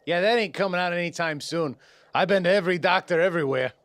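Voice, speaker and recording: A man's voice: high-pitched, quick pace, a bit bright, dry recording. A man voicing a reckless, flippant, drugged-up street mercenary with slangy, rambling talk.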